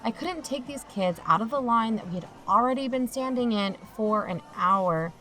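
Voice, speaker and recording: A woman's voice: a little high, expressive, moderate pace, bright, forceful tone, soft background music. A woman playing a watchful youth-camp chaperone who narrates an audio drama in a confiding first person. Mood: reflective, uneasy.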